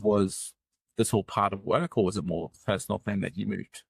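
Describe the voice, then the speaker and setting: A man hosting an interview, asking halting questions full of fillers. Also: on the low side, fairly even intonation, gravelly, husky, no room sound. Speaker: host.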